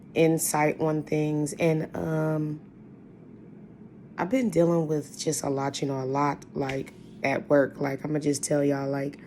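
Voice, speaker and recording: A woman with a voice hoarse from a cold, chatting casually to her viewers and telling a long personal story. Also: sits low, warm tone, slight background noise. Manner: chatty, rambling, addresses viewers as y'all. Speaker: vlogger.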